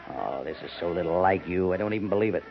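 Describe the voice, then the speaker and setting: An actor playing a persistent commanding major with a husky voice in a 1950s radio drama. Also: male voice, low voice, fast talker. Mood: probing. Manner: persistent, questioning. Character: probing fort major, firm commanding officer. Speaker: army major. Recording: muffled 1950s radio broadcast.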